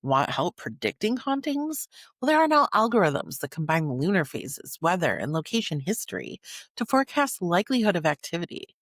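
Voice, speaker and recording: A woman podcast host delivering scripted narration in an earnest way, with short dramatic phrases and emphatic pauses. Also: lowish voice, very animated, no echo, studio quality.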